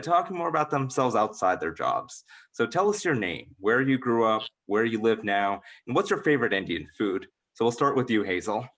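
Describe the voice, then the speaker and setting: A man's voice: deep voice, fairly bright tone. An American man moderating a panel discussion, introducing topics and putting questions to panelists. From United States, Georgia.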